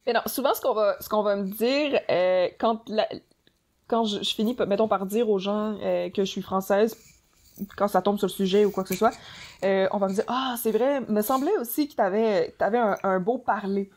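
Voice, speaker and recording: Relaxed young woman from France, chatty. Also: mid-range voice, moderate pace. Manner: relaxed storyteller with many fillers. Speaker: podcast guest. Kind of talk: casual chat.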